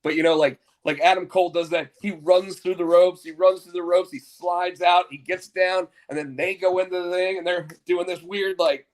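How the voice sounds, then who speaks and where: A man's voice: high-pitched, fairly even intonation, moderate pace, hardly any pauses. Stuffy-nosed man rambling about wrestling. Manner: rambling, stammering restarts. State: stuffed up with a cold. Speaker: podcast co-host. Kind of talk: casual wrestling talk.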